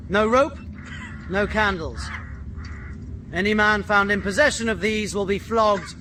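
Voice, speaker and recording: An actor playing a stern, domineering prison commandant in a radio drama, barking clipped, authoritarian orders. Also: male voice, high voice, a little slow, pushed and bright, slight background noise. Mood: stern, officious.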